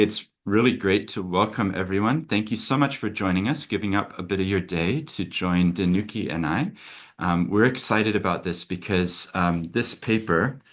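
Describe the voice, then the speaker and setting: Deep-voiced man hosting a webinar. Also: slight background noise. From America. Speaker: lawyer and webinar host. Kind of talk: conversational webinar presentation.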